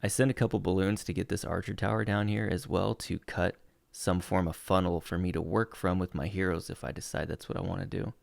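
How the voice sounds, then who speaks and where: Deep gravelly man, losing his voice. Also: fairly quick, no room sound. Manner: relaxed play-by-play with frequent uh fillers. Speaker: gaming channel host. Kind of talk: commentating over gameplay replays.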